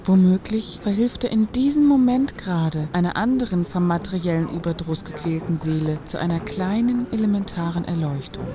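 A woman's voice: lowish voice, moderate pace, few pauses, natural voice. A woman acting a haughty, self-righteous affluent shopper in a satirical radio play, with an affected, wordy delivery. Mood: disdainful.

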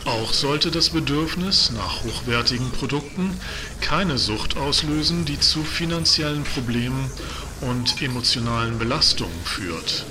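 An actor playing a polite department store announcer in an audio drama, reading formally with deadpan seriousness. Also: male voice, medium pitch, measured pace, close to an everyday voice. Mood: deadpan courtesy. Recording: clear background noise and ambience.

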